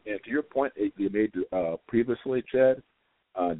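A hoarse man calling in as a guest on a radio show, talking haltingly with a lot of fillers. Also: fairly low pitch, dry recording. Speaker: prep school guest. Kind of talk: phone interview. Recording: dry, tinny phone line.

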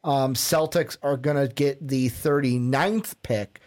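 A man's voice: mid-range voice, slow pace, darkish tone. A man co-hosting a casual podcast, reading out a long list of picks in a plain way with filler ums. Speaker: podcast co-host.

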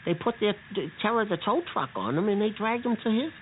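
A man's voice: high-pitched, lively intonation, quick pace. A man playing an anxious, exasperated rescuer in a children's radio drama, stammering and repeating himself. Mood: worried. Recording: tinny, noisy radio-like sound.

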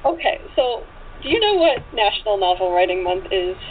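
A woman's voice: medium pitch, big pitch swings, fairly quick. Enthusiastic woman, thin phone-line sound. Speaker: interview guest, author. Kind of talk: casual interview chat. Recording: tinny phone line with background noise.

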